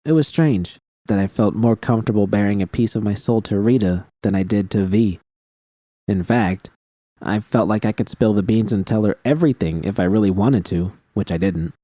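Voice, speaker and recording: Male audiobook narrator for first-person fiction, low and dark in tone, scripted read. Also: moderate pace, dry recording.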